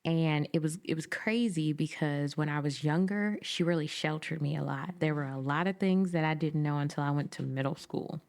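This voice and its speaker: Low-voiced woman in her twenties. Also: normal speed, hardly any pauses. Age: 20s. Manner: laughing, storytelling, animated quoting. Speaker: podcast co-host. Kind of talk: casual chat.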